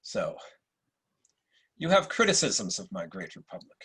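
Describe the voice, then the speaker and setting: A man's voice: lowish voice, huge pitch swings, measured pace, pushed and bright, slightly rough, voice pushed down, full character voice. A man playing a supercilious, world-weary Roman emperor in a stately, condescending, old-movie style at a table read. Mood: imperious.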